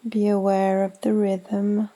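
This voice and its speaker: A woman leading a guided meditation in a gentle, soothing, unhurried manner with long pauses. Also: mid-range voice, completely flat delivery. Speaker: yoga nidra meditation guide.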